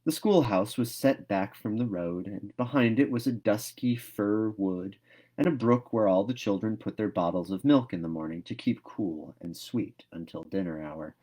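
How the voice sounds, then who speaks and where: A man reading a novel aloud as expressive storybook narration. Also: fairly low pitch, normal speed.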